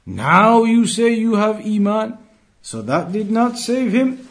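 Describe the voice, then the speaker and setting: A man giving an Islamic lesson as a religious teacher, reciting from a text and explaining it in a deliberate way, repeating phrases for emphasis. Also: high voice, very animated.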